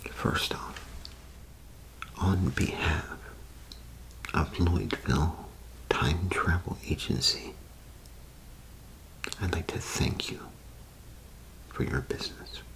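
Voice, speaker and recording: Slow whispering man, ASMR role play. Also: sits low, a bit bright, rough recording. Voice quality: breathy whisper with a creaky edge. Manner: unhurried, polite customer-service patter.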